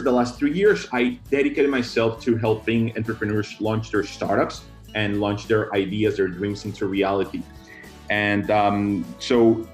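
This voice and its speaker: A man from Colombia, talking as a podcast guest. His voice is on the low side, and he speaks in a measured way, often restarting his sentences. Faint music sits behind him. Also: a little mellow. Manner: thoughtful, many restarts and fillers, measured. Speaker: guest, tech founder. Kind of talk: podcast interview.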